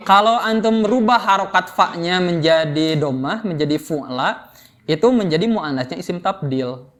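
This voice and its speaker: Man, high voice, Indonesian accent, grammar teacher, informal, question-prompting lesson delivery. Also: moderate pace, fairly bright tone. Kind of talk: interactive lesson, explaining and quizzing students.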